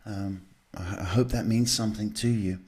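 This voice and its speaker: A man with a fairly low voice gives live psychic advice. He talks casually and directly to the listener, pausing to search for words and often seeking agreement. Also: steady tone, darkish tone, breathy edge. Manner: halting, repeats "okay" as a check. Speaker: psychic host giving live readings. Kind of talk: live advice to callers, casual spiritual talk.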